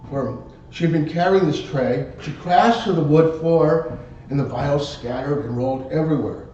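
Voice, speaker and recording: A man telling a tall tale live as a contest speech, theatrically, in short halting phrases. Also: warm tone. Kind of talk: live dramatic storytelling speech. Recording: very echoey room, distant muffled mic, rumble.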